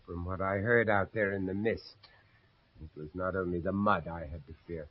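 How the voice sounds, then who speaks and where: Radio drama, older American gentleman: earnest, brooding, measured, slightly rough voice, muffled recording. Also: low-pitched, fairly even intonation. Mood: insistent. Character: earnest, haunted middle-aged American gentleman from Pennsylvania.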